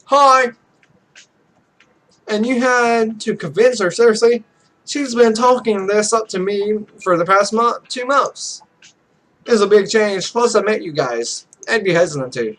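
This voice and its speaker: A cocky young male esports pro played by a man in a visual novel game. The voice is high and animated, telling things in a wry, joking storyteller's way. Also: measured pace. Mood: friendly.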